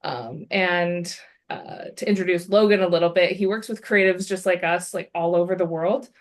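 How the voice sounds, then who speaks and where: Woman, lowish voice, casual hesitant co-host on a video call. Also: moderate pace. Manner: relaxed, many fillers, hesitant. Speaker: illustrator and designer, co-host. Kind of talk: casual self-introduction.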